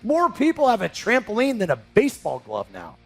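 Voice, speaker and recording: Excitable male host, very high voice, lively swooping delivery, faint music bed behind. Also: fairly quick, slightly rough. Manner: excitable, emphatic, rambling. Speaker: show host. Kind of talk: animated interview hosting.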